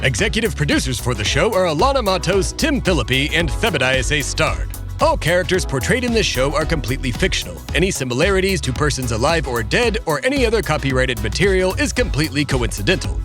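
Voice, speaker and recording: A man's voice: on the high side, very animated, quick pace, hardly any pauses, music in the background. A man hosting and starring in a comedy audio drama, talking in a formal, pompous way. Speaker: host, lead character.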